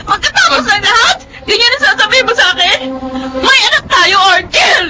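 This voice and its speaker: A woman's voice: extremely high pitch, animated, a little fast, bright, forceful tone, raised voice, a little hiss or hum, exaggerated voice. An actress playing an aggrieved young Filipino mother in a radio drama, tearful and confrontational. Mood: tearful fury. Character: Almira, aggrieved young mother fighting with her partner.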